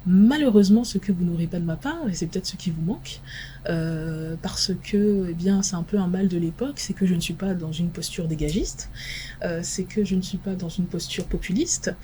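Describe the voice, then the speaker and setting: A woman expert guest on a televised panel debate, from France, answering in a measured, analytical way with frequent halting pauses. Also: fairly low pitch, mellow, slight background noise. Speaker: guest expert in international relations.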